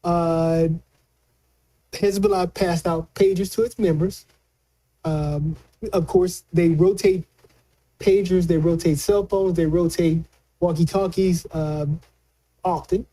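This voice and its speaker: A man giving conversational political commentary as a news analyst, colloquial and halting, often repeating words as he explains. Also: high voice, steady tone, mellow, poor audio.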